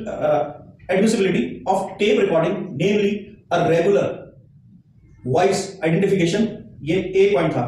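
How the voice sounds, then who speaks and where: A man's voice: high voice, a little mellow. A man giving an explanatory legal lesson, emphatic and repeating his key points. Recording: very echoey, distant mic, faint noise.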